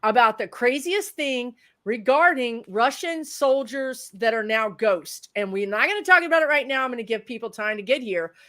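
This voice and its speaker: A woman, a psychic medium chatting casually as a livestream guest, rambling in a loud voice. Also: fairly high pitch, animated, normal speed, few pauses.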